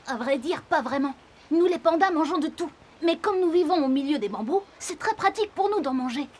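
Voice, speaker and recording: A woman's voice: sits high, animated, fairly quick. A woman voicing a friendly, easygoing panda in a children's cartoon, explaining things simply. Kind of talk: children's cartoon dialogue in French.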